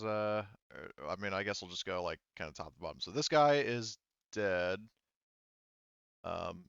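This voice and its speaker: A man with a fairly low, crisp voice runs a tabletop game in a casual way. He talks haltingly, working things out aloud. The sound is dry and slightly dulled. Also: fairly bright tone, no echo, highs cut off. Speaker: game master.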